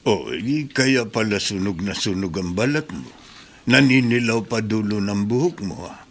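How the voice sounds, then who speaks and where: A man's voice: fairly low pitch, animated, normal speed. An actor playing a reassuring, fatherly middle-aged uncle who takes in his nephew, in a radio drama. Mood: teasing.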